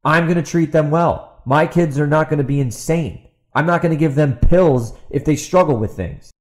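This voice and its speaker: A man's voice: fairly high pitch, moderate pace. A man hosting a podcast, ranting off the cuff in an exasperated, sarcastic way with emphatic repetition and rhetorical questions. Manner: rhetorical questions put to an absent opponent. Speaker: podcast host and author. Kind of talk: ranting opinion monologue, off the cuff.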